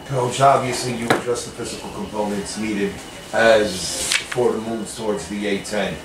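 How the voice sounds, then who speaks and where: A male reporter asks a coach questions in polite, formal wording. He sounds off-mic and distant. Clear background noise sits under the echoey room. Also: on the low side, steady tone, moderate pace. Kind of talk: press conference questions.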